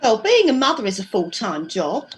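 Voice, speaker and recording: A woman's voice: fairly high pitch, sing-song, moderate pace, soft background music. A woman playing a modest, fussing middle-aged mother in an amateur comedy radio play.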